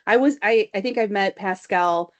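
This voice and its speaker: A woman's voice: medium pitch, normal speed, dull audio. A woman hosting an interview show, enthusiastic and effusive as she welcomes and introduces her guest. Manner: enthusiastic and effusive, with brief backchannels. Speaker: host.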